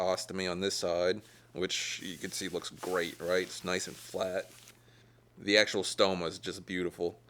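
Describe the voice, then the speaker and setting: A man speaking candidly and plainly to camera about himself, in a halting, conversational way. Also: low voice. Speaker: documentary subject, surgery patient. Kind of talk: candid personal talk to camera. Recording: loud music behind the voice.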